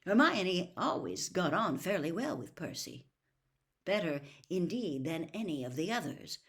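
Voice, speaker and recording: A woman narrating a novel aloud in an expressive storytelling style. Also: fairly low pitch, unhurried. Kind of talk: expressive scripted novel reading.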